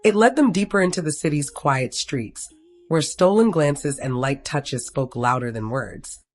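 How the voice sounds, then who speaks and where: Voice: medium pitch, lively intonation, measured pace. A narrator reading a scripted romantic story, telling it in the third person.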